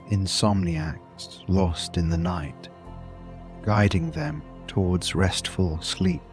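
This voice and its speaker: A man narrating a scripted bedtime story in a soothing, deliberate manner, with long pauses between short phrases. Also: sits low. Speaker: host and narrator of a sleep story podcast.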